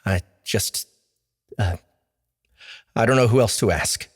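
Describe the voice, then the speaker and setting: A man's voice: lowish voice, slow pace, breathy edge, dry recording, clean studio sound. A male audiobook reader voicing a young man held prisoner, defiant yet easily flustered. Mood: nervous. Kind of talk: audiobook character voice.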